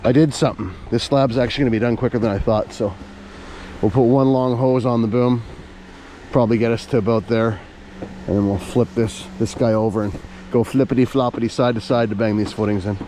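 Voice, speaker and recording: A man's voice: medium pitch, steady tone, a little fast, mellow. A man with a Canadian accent, a concrete pump operator chatting casually and joking while he works. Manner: chatty, easygoing, jokey. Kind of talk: casual on-the-job vlog narration. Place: outdoor construction site. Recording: noisy outdoor site with machinery. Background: running pump and machinery.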